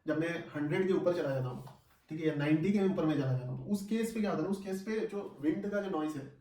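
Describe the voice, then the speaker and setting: A man talks through a product review in a casual, conversational way. His voice sits a little higher than average. He is some way from the microphone in a clearly echoey room, and he drops English phrases and short check-in questions into his talk. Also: fast, warm tone, far from the mic. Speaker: vlogger reviewing gear.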